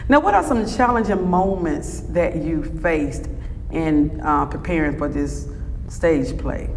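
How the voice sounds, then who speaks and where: A woman's voice: sits low, expressive, slow. A woman hosting a talk show in a casual interview style. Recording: reverberant hall, distant, faint noise.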